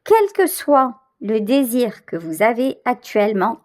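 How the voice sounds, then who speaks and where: A woman with a fairly high voice reads tarot cards for the listener. She speaks very slowly in a conversational way, leaning on key words and repeating them for emphasis. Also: big pitch swings, darkish tone. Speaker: tarot reader, channel host. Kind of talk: intuitive tarot reading addressed to the listener.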